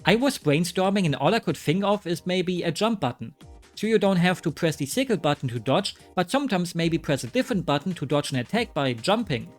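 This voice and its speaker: A man's voice: sits high, very animated, a little fast, soft background music. A man narrating a scripted game review with wry, joking asides. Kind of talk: scripted commentary.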